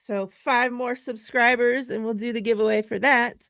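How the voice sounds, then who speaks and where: A woman's voice: on the high side, steady tone, moderate pace, gravelly, fairly bright tone, no room sound, clean studio sound. A woman hosting a casual live-stream chat, laughing often and reading out giveaway numbers. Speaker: show host.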